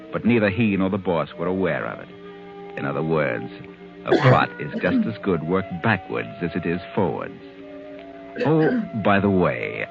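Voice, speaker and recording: An actor playing a sinister, all-knowing storyteller who narrates an old radio mystery in an ominous, sardonic manner. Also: male voice, deep voice, vocal fry, narrow, tinny audio, heavily acted. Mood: wry, knowing. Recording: old 1940s radio recording with music.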